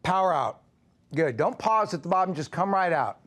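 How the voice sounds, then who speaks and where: A male strength coach gives hands-on instruction in a gym. He speaks in short clipped commands, in a fairly high voice for a man. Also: animated, normal speed, a bit bright. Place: inside a gym.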